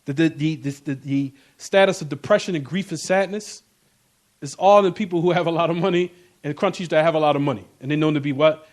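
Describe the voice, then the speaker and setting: Male Islamic class teacher, notably high voice, emphatic informal lecturing with repetition and rhetorical check-ins. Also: fairly quick. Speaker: religious teacher giving a class.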